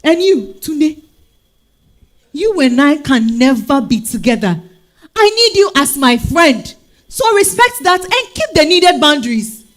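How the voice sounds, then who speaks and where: A woman with a Ghanaian accent acting an outspoken, man-weary single woman in a stage monologue, blunt and animated. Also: high voice, measured pace. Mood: defiant, angry. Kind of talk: dramatized stage reading, monologue.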